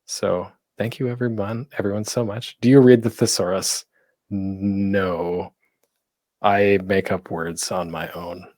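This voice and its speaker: Low-pitched man, rambling casual panelist. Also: steady tone, takes it slowly, darkish tone. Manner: rambling, self-deprecating, jokey. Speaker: podcaster, panel presenter. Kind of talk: casual unscripted online panel talk.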